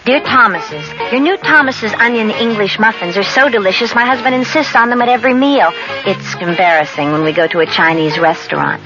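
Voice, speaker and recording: A woman's voice: mid-range voice, fairly quick, music in the background, natural voice. A woman playing a chatty, mildly exasperated wife reading her letter in a vintage radio commercial. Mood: chatty, wry. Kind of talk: 1970s radio commercial acting. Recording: dull vintage radio broadcast.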